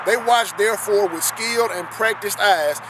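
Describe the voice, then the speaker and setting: A man's voice: high-pitched, normal speed. A man reading a text aloud on a riverbank and commenting on it, earnestly repeating key phrases for emphasis. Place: outdoors on a riverbank. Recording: loud outdoor noise with passing traffic.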